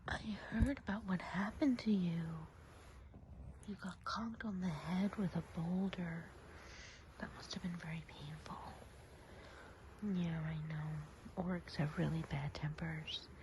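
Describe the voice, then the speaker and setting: A woman playing a kindly, gossipy witch healer of the woods in a soft, breathy whisper, chatty and soothing, for an ASMR roleplay. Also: on the low side, fairly even intonation, unhurried, background noise, lightly acted. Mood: soothing concern.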